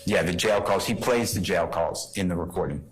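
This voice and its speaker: A man arguing as a defense attorney in a courtroom, explaining his points in a halting way. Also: sits low, over music. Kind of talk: legal argument in court.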